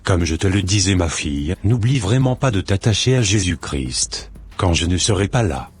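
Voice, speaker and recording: Very deep, husky devout father, acted by a man. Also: lively intonation, moderate pace. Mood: caring. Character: caring, devout father advising his daughter. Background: faint music.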